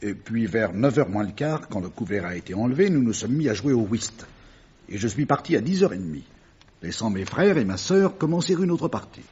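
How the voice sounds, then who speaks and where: A bereaved, shaken brother from a mining family in a radio drama. His voice is very raspy and rises and falls a lot, earnest and halting. The sound is muffled and lo-fi. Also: medium pitch, sing-song, a little mellow. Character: shaken retired tin-mining man, bereaved brother and witness. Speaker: actor in a radio mystery play. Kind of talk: French radio drama acting.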